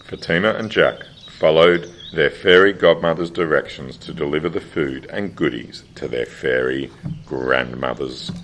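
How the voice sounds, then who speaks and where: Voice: rumbling low, normal speed, a bit bright, a little hiss or hum. A narrator reading scripted storytelling for a children's radio play.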